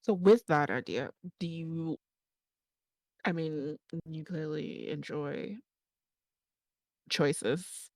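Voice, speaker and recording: A woman's voice: low voice, extremely slow, pauses often, a little mellow, no room sound, studio quality. A woman asking questions in a casual, conversational way as a panel interviewer. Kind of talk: casual chat.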